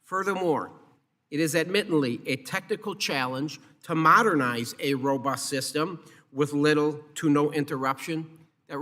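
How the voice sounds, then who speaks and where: A man speaking as a committee chairman, reading a formal, measured opening statement at a government hearing. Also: fairly high pitch, a bit bright. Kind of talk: formal prepared political statement. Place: congressional hearing room.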